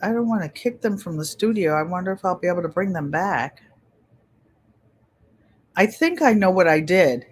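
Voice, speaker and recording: A woman in her seventies hosting a casual livestream, chatting in a gentle, pleasant voice and rambling as she often repeats her phrases. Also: lowish voice, animated, normal speed, pauses often, husky. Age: 70s. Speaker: channel host.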